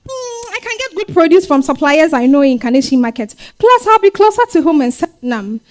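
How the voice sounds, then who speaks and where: A woman with a Ghanaian accent playing a warm, weary young market-trader wife and mother in a staged reading, conversational and full of hums and exclamations. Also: high-pitched, sing-song, fairly quick. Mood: modest, hopeful. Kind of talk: dramatized stage reading, first-person storytelling.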